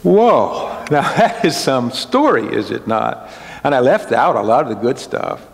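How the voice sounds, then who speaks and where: A man in his sixties preaching a storytelling sermon as a pastor, deliberate and phrase by phrase. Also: mid-range voice, sing-song, creaky. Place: indoors at a church pulpit. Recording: echoey hall, long reverb.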